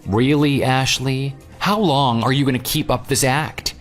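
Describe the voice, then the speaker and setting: A jilted former groom, played by a man, telling his story in an audio drama. He is dry, sarcastic and unyielding, with a medium-pitched voice. Light music sits underneath. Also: a little slow, lightly acted. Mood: dry, dismissive. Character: jilted ex-fiance, sarcastic, unyielding, self-assured. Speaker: narrator and lead character. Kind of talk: audio drama dialogue and first-person narration. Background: background music.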